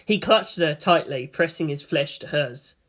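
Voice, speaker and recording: A storyteller reading dramatic scripted fiction in a solemn, expressive way. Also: medium pitch, normal speed. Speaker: fan fiction narrator.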